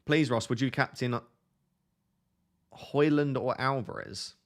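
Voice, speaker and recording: A young man hosting a fantasy football live stream, reading viewer questions aloud and answering them in a relaxed, soothing voice. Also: medium pitch, slow pace. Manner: self-described relaxing, soothing voice. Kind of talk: casual live stream chat.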